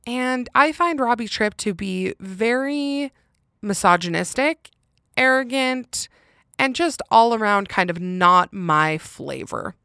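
A woman hosting a podcast, chatting casually and candidly on her own with emphatic delivery and a loud, intense voice. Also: fairly high pitch, lively intonation, slow pace, clean tone, no echo, studio quality. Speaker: podcast host. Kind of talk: casual solo chat answering listener questions.

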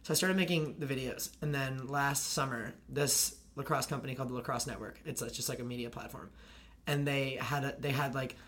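A young woman with a deep, raspy voice, a college lacrosse player and video creator, rambling fast in casual podcast chat with constant fillers. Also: steady tone, a bit bright. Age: young adult.

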